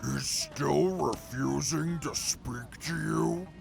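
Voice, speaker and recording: Casting: actor as haughty wasteland crewman, pompous, slow, heavy vocal fry, radio drama. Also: on the high side, faint music behind, full character voice. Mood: disdainful. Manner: pompous, formal wording. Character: haughty, disdainful, pompous male wasteland crew member. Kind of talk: audio drama acting.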